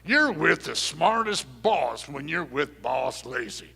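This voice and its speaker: An actor playing a chatty, bragging outlaw cowboy in a live Western radio play, with a folksy drawl. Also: male voice, on the high side, sing-song, takes it slowly, creaky, bright, forceful tone, slightly rough, full character voice. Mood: gleeful bragging. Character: chatty, boastful male cowboy outlaw gang member. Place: performing arts theater stage. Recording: very reverberant hall, stage performance.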